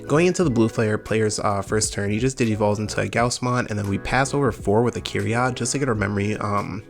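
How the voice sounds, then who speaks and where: Fast-talking young man with a cold. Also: fairly low pitch, creaky, soft background music. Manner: fast, upbeat, casual gamer talk. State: summer cold, a little nasal. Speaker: card game channel host. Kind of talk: gameplay commentary.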